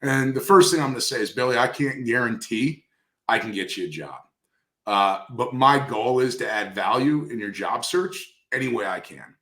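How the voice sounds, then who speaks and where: A man's voice: mid-range voice, expressive, normal speed, pushed and bright. A man speaking conversationally in an industry interview, coaching emphatically and repeating key phrases for stress. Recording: roomy, echoey sound.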